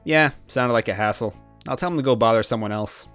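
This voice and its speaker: A man's voice: medium pitch, brisk, fairly bright tone, natural voice. A man voicing a lazy, indifferent young woman in a story reading, sounding groggy and offhand. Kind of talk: character dialogue in storybook reading. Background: background music.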